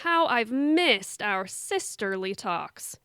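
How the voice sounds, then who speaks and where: A woman's voice: a little high, lively intonation, slow pace, noisy background. A young woman acting in an audio drama as a sarcastic, defiant daughter back home with her family, dryly exasperated.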